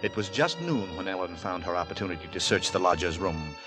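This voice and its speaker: A low-voiced, grave narrator tells a Victorian horror tale. The delivery is measured and dramatic, in vintage radio suspense style, with music clearly behind it. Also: male voice, sing-song. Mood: brooding, suspenseful.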